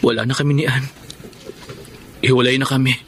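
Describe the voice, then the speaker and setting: An actor playing a resigned, heartbroken young man in a radio drama, speaking slowly and earnestly. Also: mid-range voice, little pitch movement, fairly bright tone, natural voice. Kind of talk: Tagalog radio drama acting. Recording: faint background noise.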